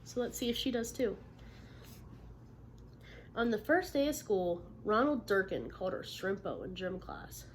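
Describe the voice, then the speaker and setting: A woman reading a picture book aloud to children like a teacher, expressively, pausing to put encouraging questions to her listeners. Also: mid-range voice, sing-song, slight background noise. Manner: encouraging, checks understanding with frequent questions. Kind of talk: interactive storybook read-aloud with questions to the listeners.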